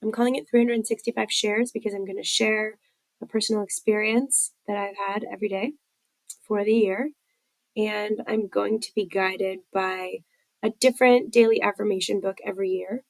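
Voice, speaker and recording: Congested woman, creaky voice. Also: medium pitch, fairly even intonation, normal speed, no echo, low-quality recording. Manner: candid, rambling, many fillers. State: congested from a head cold. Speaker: podcast host. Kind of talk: personal recovery podcast share.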